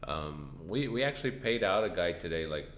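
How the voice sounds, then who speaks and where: A man's voice: sits low, lively intonation, normal speed, a bit bright, a little hiss or hum. A congested, tired man hosting a casual live stream, thinking aloud with hesitant fillers and restarts. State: congested, stuffy nose; tired from lack of sleep. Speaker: trading stream host.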